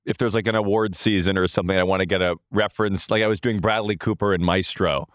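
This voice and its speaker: A young man with a low baritone voice, a guest chatting casually with frequent restarts and fillers. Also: steady tone, dry recording, studio quality. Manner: halting, many restarts. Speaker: guest, impressionist. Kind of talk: casual chat.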